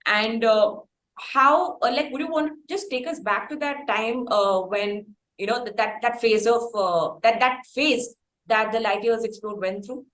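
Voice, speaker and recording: An Indian-accented woman hosts an interview in a roomy, echo-laden recording. Her voice sits a little high. She talks in long, halting, wordy runs, circling before she reaches the question. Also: a bit bright, a little hiss or hum. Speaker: interviewer, podcast host. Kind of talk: conversational interview. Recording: roomy, echoing sound.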